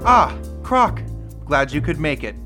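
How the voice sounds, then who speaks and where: A man's voice: a little high, big pitch swings, unhurried. A man playing an obliging lodge cook who is eager to please, in a 1930s-style radio drama. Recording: prominent music under the voice.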